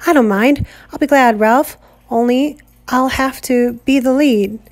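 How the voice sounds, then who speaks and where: A woman's voice: sits high, a little slow. A woman voicing an earnest boy who is eager to please, speaking in a placating way.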